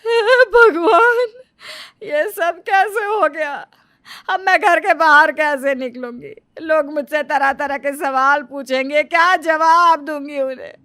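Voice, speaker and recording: A woman's voice: sits high, takes it slowly, pushed and bright, no echo, studio quality, full character voice. A woman voicing a dramatic older mother-in-law in a cartoon, scolding and wailing.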